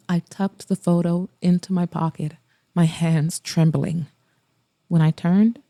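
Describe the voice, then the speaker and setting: A woman podcast host and narrator reading romance fiction in a dramatic, deliberate way. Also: lowish voice, mellow, no room sound, studio quality. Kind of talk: first-person romance audiobook narration.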